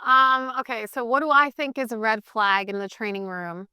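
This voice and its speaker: A woman from Hawaii, raised speaking Pidgin, chatting casually as a podcast co-host. Her voice is bright and crisp, and she talks in a lively, opinionated way. The recording is close and dry. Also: on the high side, moderate pace, dry recording. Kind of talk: casual chat.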